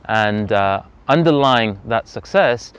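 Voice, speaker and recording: A man, telling his personal story as an interview guest outdoors in earnest, reflective, measured speech. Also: on the low side, animated, clear, resonant tone. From Zambia. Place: outdoors on a freezing day. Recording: slightly dull lo-fi outdoor recording.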